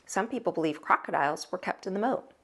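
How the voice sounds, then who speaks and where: A woman narrating a children's book as a teacher would, with expressive, dramatic storytelling. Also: on the low side, fast talker, husky. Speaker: host, teacher reading aloud.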